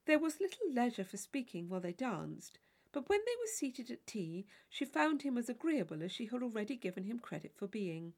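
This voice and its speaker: A woman reading a classic novel as an audiobook, in expressive, measured literary narration. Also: fairly high pitch. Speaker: audiobook reader.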